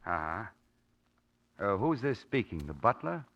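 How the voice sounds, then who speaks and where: A man's voice: fairly low pitch, big pitch swings, a little slow, fairly bright tone, highs cut off, exaggerated voice. A man playing a blustering, flustered and hesitant police commissioner, in 1940s radio acting style. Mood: nervous. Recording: old 1940s radio recording.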